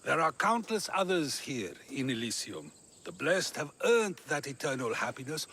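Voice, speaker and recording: An actor playing a wise, wry old philosopher in a video game cutscene, talking in a musing, questioning way. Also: male voice, fairly high pitch, big pitch swings, normal speed, husky, full character voice. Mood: reflective. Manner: musing, questioning, gently teasing. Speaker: game character.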